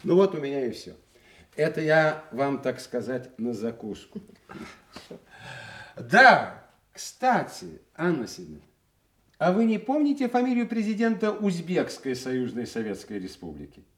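A man acting in a Soviet radio play as a brisk party secretary, speaking in official phrases with dry, authoritative irony. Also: on the high side, sing-song. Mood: ironic, insistent. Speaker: actor.

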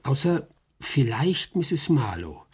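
An actor playing an informal, wry investigator in a radio drama, reporting in a casual, clipped manner. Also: male voice, mid-range voice, very animated, takes it slowly, a little mellow, slightly rough, full character voice. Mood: wry, businesslike.

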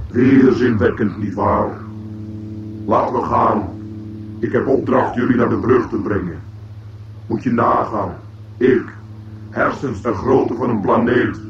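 A depressed male robot speaks with weary sarcasm and endless self-pity. The voice is gravelly and echoes from a distance. It sounds like an old radio drama, buried in loud noise. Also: mid-range voice. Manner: weary, morose, sarcastic, complaining. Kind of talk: radio play acting. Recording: muffled, very noisy old radio drama recording.

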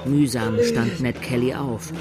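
A man's voice: mid-range voice, measured pace, loud music behind the voice, lightly acted. A man acting as a grave, dramatic narrator of a battle in a German radio drama. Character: grave male chronicler of an outlaw's last battle. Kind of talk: scripted third-person radio drama narration.

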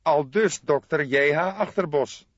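A man's voice: on the high side, a little slow, no room sound, lo-fi sound, voice pushed up. A man voicing an irritable, sardonic young police detective in an audiobook. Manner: exclamatory, gloomy, sardonic. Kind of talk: audiobook character voice.

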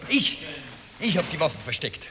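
An actor playing a young Austrian waiter, an earnest son who pleads urgently in a radio play. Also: male voice, fairly high pitch, sing-song, normal speed. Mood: urgent pleading. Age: young adult. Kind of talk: 1960s radio play acting. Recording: noisy, clicking old tape.

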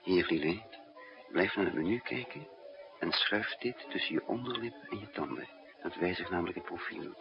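A man's voice: low voice, lively intonation, normal speed, vocal fry. An actor playing a cool, controlled male spy, narrating and talking in a 1960s radio drama. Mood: tense, controlled. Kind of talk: 1960s Dutch radio play, first-person narration and dialogue. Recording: dull 1960s radio recording with music.

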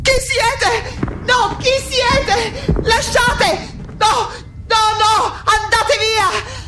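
An actress playing a frightened yet defiant woman scholar in a theatrical radio monologue, halting and repeating her words with dramatic intensity. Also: very high-pitched, steady tone, heavily acted. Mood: terrified, screaming. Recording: loud music behind the voice.

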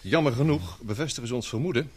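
A man's voice: mid-range voice, fast, darkish tone, husky, close to an everyday voice. A man acting in a radio drama as a courteous, cautious technical expert who weighs things carefully. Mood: cautious, assessing.

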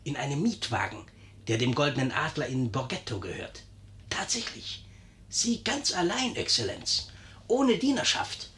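An actor in a 1950s German radio comedy playing a servile, sly innkeeper who flatters with gentle irony and stays cheerfully unflappable. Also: male voice, mid-range voice, moderate pace, slight background noise. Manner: deferential, flattering, gently ironic.

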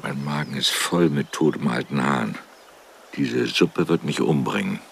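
An actor playing a sickly, cantankerous village man in a radio drama, grumbling drily in a gravelly voice. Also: animated, measured pace, background noise, full character voice. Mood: sardonic. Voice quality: very deep, gravelly. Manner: grumbling, dryly sardonic.

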